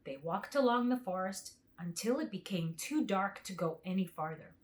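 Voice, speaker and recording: A woman reading a children's book aloud as a storyteller, with expressive narration. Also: mid-range voice, moderate pace.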